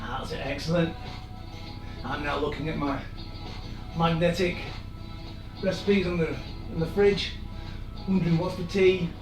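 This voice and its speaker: A man, hoarse and losing his voice, leading a live-streamed workout with chatty, encouraging asides. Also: a little high, level tone, a little slow, long pauses, distant mic. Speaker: fitness instructor. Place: room in an old house. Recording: echoey room, off-mic, music behind.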